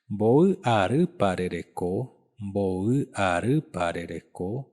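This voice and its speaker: A man in his thirties teaching a language lesson, saying each phrase slowly and repeating it several times. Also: on the low side. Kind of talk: slow spoken language lesson.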